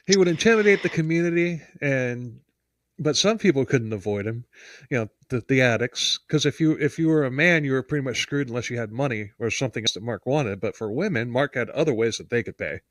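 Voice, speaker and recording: A man's voice: mid-range voice, lively intonation, brisk, no echo. Rambling American man, podcast co-host. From United States. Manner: rambling, self-interrupting, emphatic. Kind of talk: casual podcast chat and true-crime storytelling.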